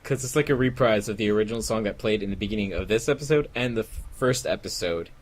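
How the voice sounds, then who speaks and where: A man's voice: lowish voice, fast. A man chatting casually as a commentator among friends. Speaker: fandub team commentator.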